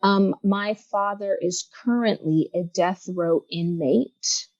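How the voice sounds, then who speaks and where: A woman in her thirties, a guest from Los Angeles, speaking reflectively in a casual interview with many pauses and fillers. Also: medium pitch, a little mellow, no room sound, dull audio. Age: 30s.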